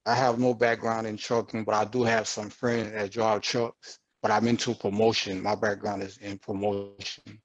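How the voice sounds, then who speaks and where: A man's voice: lowish voice, level tone, moderate pace, no echo, dull audio. A man introducing himself politely to an online class. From Fort Lauderdale, Florida. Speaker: student.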